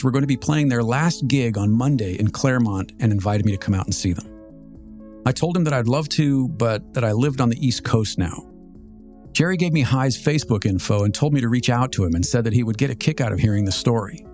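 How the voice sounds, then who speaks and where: A man in his fifties with an American accent, telling a scripted personal story as a podcast host in a measured, reflective, articulate way. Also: mid-range voice, a little mellow. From Southern California, United States. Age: 50s. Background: music.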